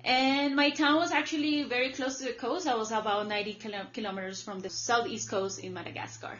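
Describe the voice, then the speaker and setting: Guest: Colombian-born woman, 30s, Spanish native, chatty and rambling, higher pitch, muffled lo-fi audio. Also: steady tone, fairly quick, a bit bright, a little hiss or hum. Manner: chatty, many "like" fillers. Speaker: guest, former volunteer. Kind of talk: casual interview storytelling.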